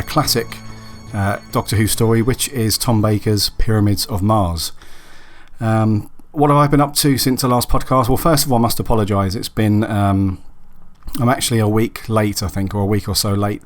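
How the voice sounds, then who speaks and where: Hoarse man hosting a chatty podcast. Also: lowish voice, fairly even intonation, normal speed, soft background music. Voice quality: raspy, husky. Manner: rambling, with many ums and restarts. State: hoarse from a cold. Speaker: podcast host. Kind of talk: casual solo podcast chat.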